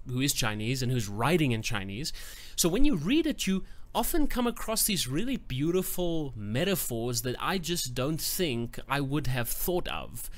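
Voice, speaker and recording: A man giving a casual book review to camera, thoughtful and searching for words, growing enthusiastic. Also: mid-range voice.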